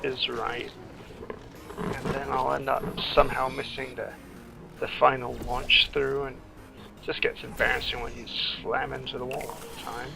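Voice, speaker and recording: A man giving casual, rambling playthrough commentary on a video game, halting and trailing off mid-thought. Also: medium pitch, flat delivery, creaky, rough recording. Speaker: gameplay commentator, screenwriter. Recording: game music behind commentary. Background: video game music.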